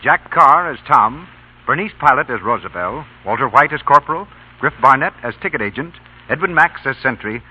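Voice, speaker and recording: A man's voice: mid-range voice, slightly rough. A man announcing credits and notices in scripted 1940s radio style. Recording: muffled old radio recording.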